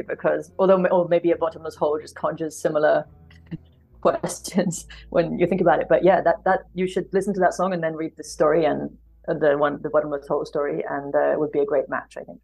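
A woman speaking casually as a podcast guest in an interview, thoughtful and halting as she works out her phrasing. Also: deep voice, creaky, mellow, breathy edge, rough recording. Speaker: podcast guest, literary translator.

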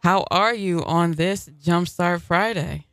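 A man hosting a community radio show, talking in a relaxed, casual way full of "you know" and "uh" fillers. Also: sits high, takes it slowly, no room sound, studio quality.

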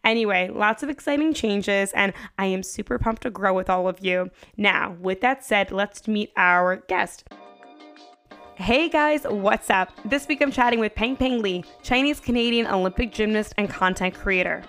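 A woman's voice: medium pitch, normal speed, a bit bright, soft background music. A woman in her twenties hosting a podcast, upbeat and chatty, often saying "like". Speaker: podcast host. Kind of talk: casual interview chat.

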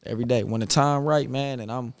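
A man's voice: mid-range voice, fast, no room sound. A man, talking casually and reservedly as an interview guest, with slangy phrasing. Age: 30s. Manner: reserved, even-keeled, slangy. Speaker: podcast guest, boxer.

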